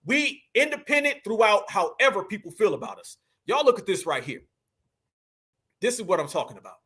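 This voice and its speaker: Emphatic high-voiced man commenting on clips. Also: sing-song, fairly quick, fairly bright tone, breathy edge. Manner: emphatic, addresses viewers as family. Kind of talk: casual reaction commentary.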